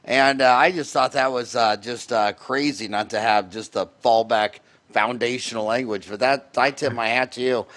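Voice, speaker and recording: A man's voice: mid-range voice, fairly even intonation, moderate pace, few pauses, a bit bright. A middle-aged American man hosting a casual interview in a folksy, rambling way, often restarting his questions. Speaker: podcast host, dentist.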